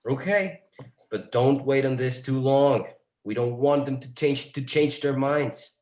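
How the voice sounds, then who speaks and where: A man playing an excited, pushy property agent in his thirties in a screenplay table read, speaking eagerly and briskly. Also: mid-range voice, lightly acted. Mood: insistent. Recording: roomy, echoing sound.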